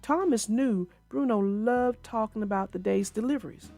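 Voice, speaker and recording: A woman narrating a children's storybook aloud in an expressive way. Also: fairly high pitch, normal speed, faint music behind.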